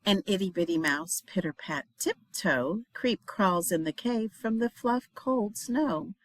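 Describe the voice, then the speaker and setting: A woman reads a children's storybook at an unhurried pace. Her delivery is expressive and dramatic, sinking into hushed refrains. The sound is dry, with little room to it. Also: mid-range voice, no echo. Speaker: storybook reader, teacher. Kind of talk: expressive children's picture book read-aloud.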